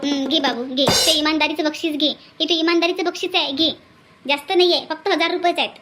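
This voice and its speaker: A woman voicing a warm-hearted older cartoon village auntie, gushing and insistent, repeating herself in rustic Vidarbha Marathi. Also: high voice, steady tone, quick pace, loud music behind the voice, close to an everyday voice. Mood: coaxing, insistent. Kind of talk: comedy cartoon dialogue.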